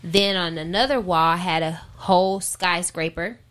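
A woman's voice: lowish voice, normal speed, fairly bright tone. An American woman speaking casually as an interview guest, informal and reflective, thinking aloud as she answers. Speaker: interview guest, singer and rapper.

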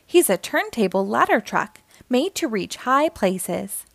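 A woman reads a children's story in a high, expressive voice. Her storytelling is dramatic and animated, with an unhurried pace suited to young listeners. The recording is dry and close. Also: creaky, dry recording. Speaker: storybook reader, host. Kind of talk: expressive children's read-aloud narration.

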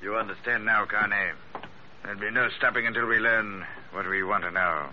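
An actor playing a cold, commanding villain sea captain in an old-time radio adventure, giving threatening clipped orders with mocking politeness. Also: male voice, on the low side, fairly even intonation, normal speed, natural voice. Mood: cold menace. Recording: muffled, hissy vintage radio recording.

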